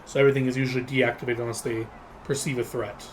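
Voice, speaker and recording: A man playing a formal, coin-minded freelance spy in a tabletop roleplaying game, measured and a little hesitant. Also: medium pitch, little pitch movement, very noisy, natural voice. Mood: formal, reporting.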